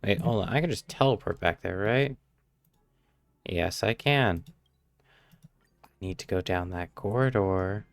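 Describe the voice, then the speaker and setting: A man's voice: deep voice, unhurried, gravelly, long pauses, no echo. A man streaming a game live, giving casual commentary as if muttering to himself. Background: faint game music.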